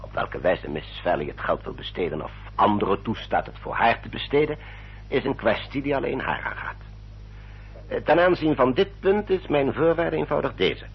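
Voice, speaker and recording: An actor playing a courteous English family solicitor in a radio drama, speaking in a formal, measured, explanatory manner. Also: male voice, medium pitch, breathy edge, heavily acted. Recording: dull, muffled old radio recording.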